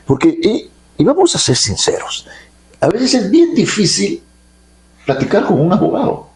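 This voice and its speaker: A man's voice: sits high, wildly swooping pitch, normal speed, a little mellow. A man from Peru hosting a casual interview, chatty and playful, with lots of uh-huhs while his guest talks. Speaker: interviewer, digital creator.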